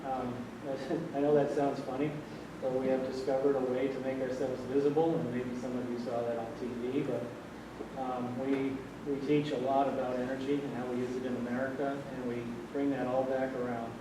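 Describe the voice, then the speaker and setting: A soft-spoken man in his sixties from Vermont, speaking from the floor at a panel discussion in a rambling way with many fillers. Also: medium pitch, little pitch movement, brisk. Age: 60s. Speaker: audience member at a panel. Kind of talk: public comment at a panel discussion. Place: large hall. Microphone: distant room mic. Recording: echoey hall, distant noisy mic.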